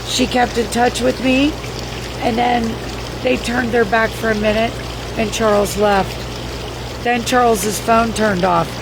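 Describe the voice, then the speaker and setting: Hoarse woman, fairly high voice, profane rambling livestream host; noisy recording. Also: fairly even intonation, a little slow. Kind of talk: casual livestream recap reading viewer comments. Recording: noisy background.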